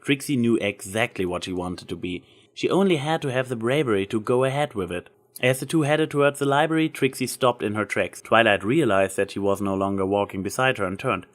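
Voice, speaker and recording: A man's voice: on the low side, fairly quick. A man reading scripted fan fiction as the story's narrator.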